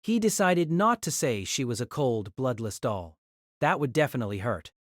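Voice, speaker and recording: A woman's voice: very deep voice, expressive, moderate pace, dry recording, clean studio sound. A woman narrating a character's inner thoughts in an audio drama, thoughtful and wondering.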